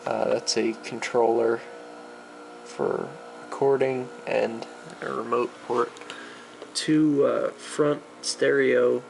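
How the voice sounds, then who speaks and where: A man with a gravelly, creaky voice walks through a piece of old gear in an offhand, unscripted way. His explanations ramble and halt as he goes. There is faint noise behind him in an amateur recording. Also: mid-range voice, poor audio. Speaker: hobbyist demonstrating vintage audio gear. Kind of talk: casual unscripted product demonstration.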